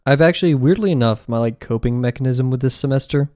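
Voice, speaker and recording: A man's voice: mid-range voice, quick pace, a little mellow. A young man chatting casually on a live stream while he draws, rambling and joking about himself, in an apartment room fitted with acoustic foam. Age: young adult. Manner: chatty, rambling, self-deprecating, laughs often.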